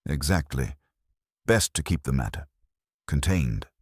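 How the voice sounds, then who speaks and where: A man acts out a self-important town clerk from a mystery story. The voice is very deep and varied in pitch, with clipped, officious phrasing and an anxious edge. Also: lively intonation, measured pace, a little mellow, husky, no room sound, clean studio sound, full character voice. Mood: flustered.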